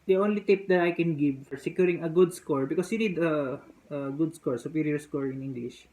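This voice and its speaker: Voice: medium pitch, a little fast, warm tone, poor audio. A native Filipino speaker with a Filipino accent, casually explaining practical test tips to the camera. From Philippines. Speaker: vlogger giving test tips. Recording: clear background noise.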